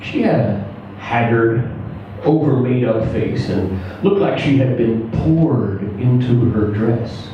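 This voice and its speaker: A man giving a live reading of a scripted first-person story, measured and deadpan with deliberate pauses. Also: medium pitch, dull audio. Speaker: story reader. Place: indoor live reading before an audience. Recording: very echoey room, distant mic, faint noise.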